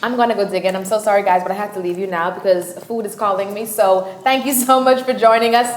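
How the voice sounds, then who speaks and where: A woman's voice: medium pitch, fairly even intonation, fast talker, slight background noise. Chatty woman TV host. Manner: chatty, enthusiastic, reacts with short "nice"s. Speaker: TV show host. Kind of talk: hosting a cooking segment. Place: studio kitchen. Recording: echoey studio kitchen.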